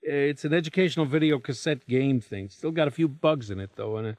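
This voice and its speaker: A man acting as a traveling salesman husband in a radio drama, American and of middling pitch. He talks briskly and persuasively, always slightly evasive, in a dry, close studio sound. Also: a little mellow, dry recording, close to an everyday voice. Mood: breezy.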